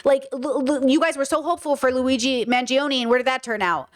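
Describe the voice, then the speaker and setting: A woman's voice: sits high, brisk. A woman with a Californian accent hosting a commentary video, talking emphatically to her viewers and often repeating words as she thinks. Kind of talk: opinionated commentary monologue.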